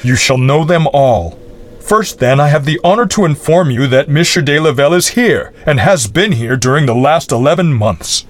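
A man's voice: on the high side, lively intonation, moderate pace, voice pushed up, lightly acted. A jealous, scheming baron, as a male narrator puts him on, courtly on the surface and menacing underneath. His voice sits above the reader's own, with a changed tone. He speaks in a spoken storybook.

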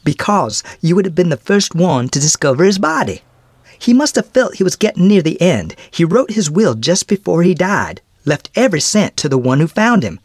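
A man puts on a gossiping village storekeeper from an old storybook, pitched up high and swooping all over. The voice has a gravelly, crackling edge and is loud and eager with news, and the recording is close and dry. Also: big pitch swings, moderate pace, no echo, heavily acted. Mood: gossipy, gloating. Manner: folksy rural grammar, eager to tell news. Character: talkative gloating male village storekeeper. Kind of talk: storybook character voice.

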